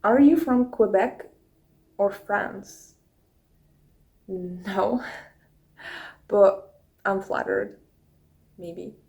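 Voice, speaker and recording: A young woman with a Russian accent talks at an unhurried, slow pace, easygoing and conversational. She records straight into her phone, going through viewer questions one by one. Her pitch sits mid-range for a woman. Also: darkish tone. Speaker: YouTuber. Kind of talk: casual get-to-know-me chat.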